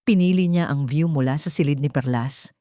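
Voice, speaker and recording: A woman's voice: low-pitched, moderate pace, warm tone, no echo, clean studio sound. A woman with a Filipino accent reading a scripted dramatic story as an audiobook narrator.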